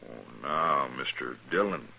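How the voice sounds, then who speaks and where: Slow drawling gunslinger, gravelly and sharp-edged, kinda smug. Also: male voice, extremely low pitch, animated, full character voice, low-quality recording. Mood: sly. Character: swaggering male gunman, turning wary. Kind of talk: 1950s radio drama acting.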